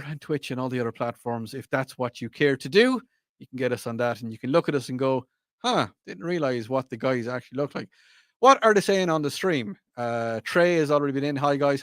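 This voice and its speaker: A man hosts a radio chat show in a playful, rambling, teasing way. His voice sits at a middle pitch. The studio sound is dry and close. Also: fairly bright tone, dry recording. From Ireland. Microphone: studio microphone.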